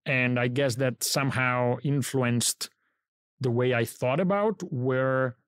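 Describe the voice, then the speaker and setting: A man's voice: mid-range voice, slow, smooth, dry recording. A middle-aged man from Italy with an Italian accent, a podcast guest in a studio recounting his career in a reflective, think-aloud way. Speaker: guest, company founder. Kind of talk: podcast interview.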